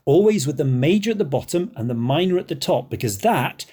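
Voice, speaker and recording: A man talks through early music with a mellow, warm tone. He is chatty and scholarly, pausing with ums and drifting into tangents. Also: on the high side, expressive, breathy edge. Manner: digressive, hesitant, scholarly. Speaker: guest musician. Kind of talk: chatty expert explanation.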